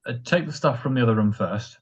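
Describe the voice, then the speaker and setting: A woman playing a smug, languid husband in an old-time radio drama, answering with husky, mock-polite sarcasm. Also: very deep voice, fast talker, lo-fi sound, noisy background. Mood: distracted. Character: smug, languid, sardonic husband, lazily mocking.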